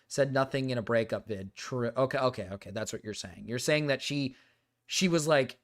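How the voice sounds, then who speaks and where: A young man streaming casually, excitable and swearing, swinging between loud indignant outbursts and low mumbled asides. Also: normal speed. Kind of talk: casual reaction stream chat.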